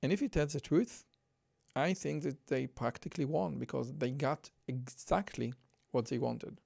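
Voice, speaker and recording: A man's voice: mid-range voice, moderate pace, vocal fry, darkish tone, dry recording, clean studio sound. A man with a thick Israeli accent, hosting a podcast and giving earnest commentary on a news article, repeating his points for emphasis. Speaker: podcast host.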